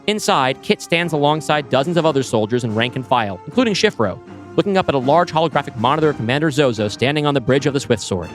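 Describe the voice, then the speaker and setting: A man's voice: mid-range voice, lively intonation, fast, soft background music. A man reading a screenplay's scene directions aloud, with a wry, descriptive manner. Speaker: podcast co-host.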